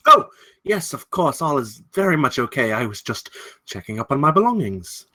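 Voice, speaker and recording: A man performs a fussy, evasive gentleman merchant in a drama read. His voice is bright and swoops in pitch. He is polite to excess, with a jovial front. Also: very animated, moderate pace, no room sound, exaggerated voice. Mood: forced joviality.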